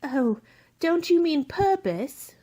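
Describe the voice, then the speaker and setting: A woman's voice: high voice, sing-song, slow pace, dark tone, full character voice. A woman playing a curious, polite and eager young girl in a radio play, with an inquisitive, wide-eyed manner.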